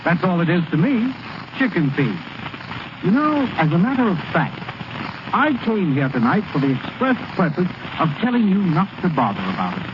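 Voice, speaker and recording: An actor playing a falsely genial, scheming gambler with a patronizing, reassuring manner, in 1930s radio acting style. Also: male voice, high-pitched, big pitch swings, normal speed. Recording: noisy, muffled vintage radio recording.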